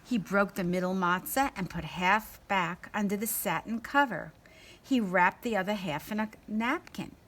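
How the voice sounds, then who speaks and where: A woman reading a children's story aloud in an expressive manner, acting out the dialogue. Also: mid-range voice, moderate pace. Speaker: story reader.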